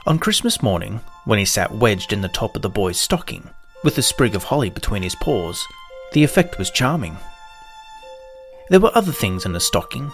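A man reading a children's story as narrator, in a measured, expressive storytelling manner. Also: mid-range voice. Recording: soft guitar music behind the voice.

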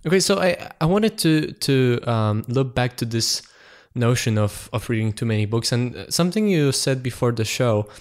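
A man's voice: medium pitch, lively intonation, normal speed, few pauses. A man hosting a podcast, talking casually with many fillers and repeated words. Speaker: podcast host. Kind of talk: casual chat.

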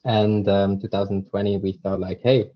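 A man's voice: fairly low pitch, level tone, normal speed. A young man from Zurich, a podcast guest telling his story casually with many fillers. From Switzerland, Zurich. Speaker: podcast guest, crypto startup co-founder. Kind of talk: casual interview talk. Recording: dull lo-fi remote audio.

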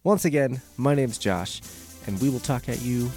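A man's voice: medium pitch, animated, normal speed, music in the background. A man hosting a podcast, rambling casually with restarts and the odd swear word. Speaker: podcast host.

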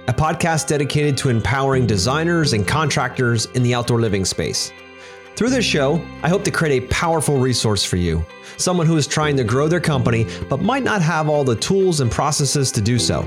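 A man's voice: medium pitch, big pitch swings, a little fast, music in the background. A middle-aged man hosting a podcast, upbeat and a little rambling, repeating words as he talks to his guest. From Pennsylvania. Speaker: podcast host. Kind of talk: podcast interview.

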